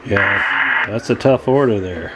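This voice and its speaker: A man's voice: fairly low pitch, slow, heavy background noise. Man casually talking through hands-on repair. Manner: informal, thinking aloud while working. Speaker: radio repair technician, video host.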